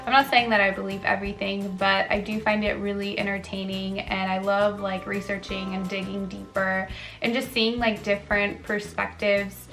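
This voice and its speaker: A woman's voice: mid-range voice, level tone, moderate pace, fairly bright tone. Young woman vlogging, lots of likes and ums, medium voice, faint music behind. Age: 20s. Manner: chatty, frequent "like" and "um" fillers. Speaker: lifestyle vlogger. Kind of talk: casual chat to camera.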